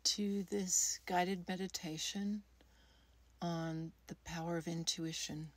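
Female meditation guide: lowish pitch, soft husky breath, soothing delivery that leaves space between phrases. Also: steady tone, no room sound. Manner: soothing, unhurried, with long pauses between short phrases. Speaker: guided meditation leader, creativity coach. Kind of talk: guided meditation, gentle scripted narration.

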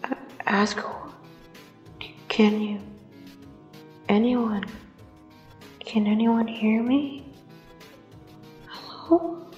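A woman voicing a shy, anxious, apologetic ghost in a fan audio drama, soft and breathy, halting and stammering. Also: a little high, steady tone, echoey room, dull audio, soft background music. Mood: scared, distressed. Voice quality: soft and breathy, often whispery.

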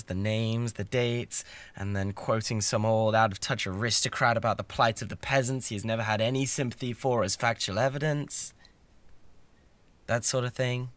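A man with a British accent acting a bratty, obsessive young classmate in an ASMR roleplay, stammering and intense, shifting between soft pleading and outbursts. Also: on the low side, close to an everyday voice. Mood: awkward, eager. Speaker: audio roleplay performer.

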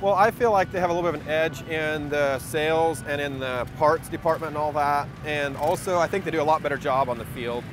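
Slightly high-voiced man, casual testimonial. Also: brisk, few pauses, noisy background. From Nebraska, United States. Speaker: lawn care business attendee. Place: outdoors at a trade expo. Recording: outdoor interview with faint music.

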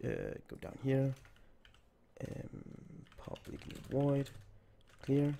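A man's voice: medium pitch, huge pitch swings, drawn-out words, gravelly, dark tone, a little hiss or hum. A man who is nearly losing his voice, talking through a coding tutorial while he types. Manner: thinks aloud while typing code. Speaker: programming tutor. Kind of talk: screen-recorded coding tutorial.

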